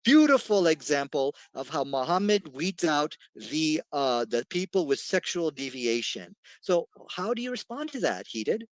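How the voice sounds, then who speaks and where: Give me an assertive English-accented man with a lively, swooping delivery. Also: on the high side, a little slow, hardly any pauses, no echo, low-quality recording. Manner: assertive, dismissive, rhetorical questions. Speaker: debater. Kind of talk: online debate.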